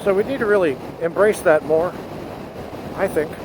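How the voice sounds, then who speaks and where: Motovlogger, male, high voice, earnest and fervent, helmet mic with wind noise. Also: moderate pace. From United States. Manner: earnest, rising to patriotic fervor. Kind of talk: spoken essay to camera. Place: on a motorcycle outdoors. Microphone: helmet headset mic.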